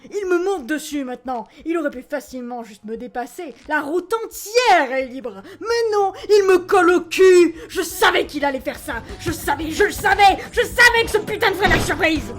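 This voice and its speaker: High voice, woman playing a male demon hitman boss, brash, foul-mouthed, road-raging, cartoon fan dub, music behind. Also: animated, moderate pace, hardly any pauses, a bit bright. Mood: indignant. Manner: brash, exclamatory, swearing, theatrical.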